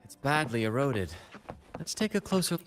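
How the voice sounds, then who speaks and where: An actor playing a confident, easygoing young adventurer in a video game. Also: male voice, medium pitch, sing-song, moderate pace, soft background music, natural voice. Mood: curious.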